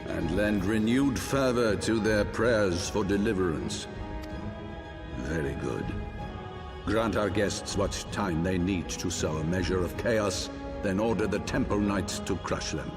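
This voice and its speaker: An actor voicing a stern, coldly calculating old archbishop in a video game, measured and imperious. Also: male voice, low-pitched. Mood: cold satisfaction. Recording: long cathedral reverb, music behind.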